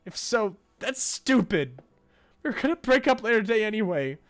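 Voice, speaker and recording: A man's voice: high-pitched, big pitch swings, normal speed, pitched above the performer's own voice. A man voicing a desperate, insistent young woman from a visual novel, pleading and demanding with heavy emphasis. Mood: desperate, defiant. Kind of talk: visual novel dialogue read aloud.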